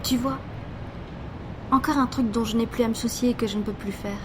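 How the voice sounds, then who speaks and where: A woman's voice: on the high side, steady tone, fairly quick, background noise, lightly acted. A woman playing a moody, despondent teenage girl in an audio drama, sulky and defensive. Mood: bitter, discouraged. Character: moody, despondent teenage girl who lost her sight. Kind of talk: amateur audio drama acting.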